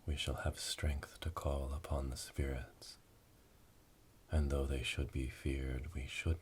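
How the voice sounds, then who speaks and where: A man playing a solemn witch leading a dark initiation ritual in an ASMR roleplay, whispering slowly and breathily in a monotone incantation, recorded close on binaural microphones. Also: very deep voice. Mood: solemn, hushed. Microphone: binaural microphones, close to the ears. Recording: close binaural recording with clear background noise.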